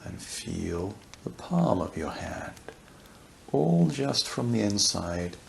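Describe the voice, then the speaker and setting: A man leading a guided yoga nidra relaxation, speaking softly and soothingly, unhurried, with long pauses. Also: lowish voice, big pitch swings, creaky. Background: crackling fireplace ambience.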